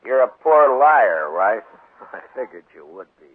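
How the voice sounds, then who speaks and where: An actor playing a steady, probing marshal in a 1950s radio western, speaking in a measured, laconic, questioning way. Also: male voice, mid-range voice. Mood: probing, firm. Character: steady, probing Old West marshal, a grown man. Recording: muffled 1950s radio broadcast recording.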